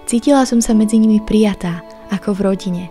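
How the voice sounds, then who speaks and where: A woman's voice: mid-range voice, quick pace, mellow, faint music behind. A woman narrating her own short documentary in an earnest, reflective way. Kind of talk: scripted narration.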